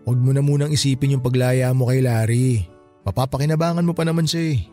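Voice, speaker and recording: Actor as scheming boyfriend on the side; dark, mellow Filipino male voice, medium pitch, radio drama. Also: steady tone, fast talker, faint music behind, natural voice. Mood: coaxing, scheming. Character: smooth-talking, scheming secret lover, male tricycle driver. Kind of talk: Tagalog radio drama acting.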